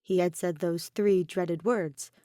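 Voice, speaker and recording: A woman's voice: fairly low pitch, fairly even intonation, slow, mellow, dry recording, studio quality. A woman reading a piece of fan fiction as scripted story narration. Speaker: podfic narrator.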